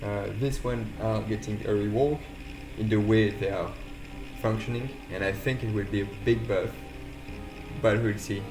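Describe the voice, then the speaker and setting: A man from France with a French accent, a game developer chatting casually and haltingly during a live stream while playing. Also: on the low side, fairly even intonation, poor audio. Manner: halting, self-deprecating, restarts phrases. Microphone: voice call. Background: game music.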